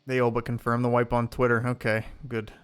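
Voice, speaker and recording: A man's voice: medium pitch, monotone, a little fast, fairly bright tone, smooth, faint music behind. A man streaming a video game live, chatting casually with viewers, rambling with lots of fillers as he loots and sorts gear.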